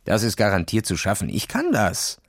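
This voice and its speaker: A man's voice: fairly low pitch, wildly swooping pitch, normal speed, gravelly, no room sound, clean studio sound, heavily acted. A man voicing a cocky, impatient schoolboy who boasts and taunts in a children's audio story. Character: cocky, impatient, daredevil schoolboy acting cool.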